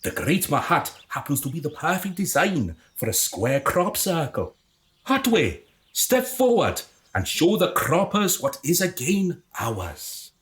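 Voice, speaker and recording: A performer playing a haughty, excitable, condescending alien in human guise in a comic radio drama. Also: mid-range voice, a little slow. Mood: pompous, exasperated. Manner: pompous, exasperated, condescending.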